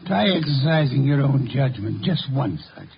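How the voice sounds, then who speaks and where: An actor playing a shrewd, imperious, eccentric detective in a 1950s radio drama, speaking in a deliberate, formal, probing way. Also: male voice, medium pitch, big pitch swings, gravelly. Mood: imperious, dry. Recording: dull, hissy 1950 radio recording.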